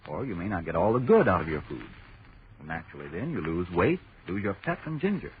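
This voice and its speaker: A low-voiced American male announcer sells with emphatic, lively swings of pitch. The old radio broadcast sounds tinny and slightly noisy. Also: quick pace. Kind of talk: 1940s radio advertising patter.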